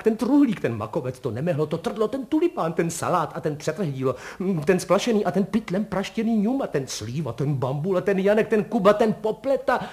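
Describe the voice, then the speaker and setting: A good-hearted storybook postman, played by a male narrator, chatty and excitable. He talks in homely, colloquial turns of phrase, courteous but very wordy. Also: mid-range voice, natural voice. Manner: colloquial, deferential yet exuberant, piling up words.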